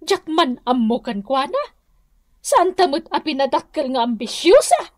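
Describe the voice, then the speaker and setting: A woman's voice: high-pitched, big pitch swings, a little slow, a little mellow, dry recording, studio quality. A woman playing a scolding, disapproving mother in an Ilocano radio comedy drama, nagging and scandalized, with an Ilocano accent. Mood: resigned disapproval.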